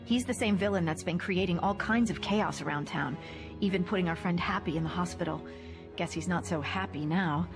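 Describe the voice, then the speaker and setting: A woman's voice: fairly low pitch, fairly quick, natural voice. An actress playing a chatty woman who narrates game cutscenes with wry, joking asides. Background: music.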